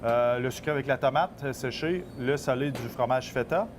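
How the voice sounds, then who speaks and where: A Québécois man in his thirties describes his business with eager energy and plenty of fillers. His voice is at a plain middle pitch. Steady background noise sits under the recording. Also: moderate pace. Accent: Québécois French. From Québec. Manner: eager, many fillers, explains products. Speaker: olive business owner. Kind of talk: casual interview.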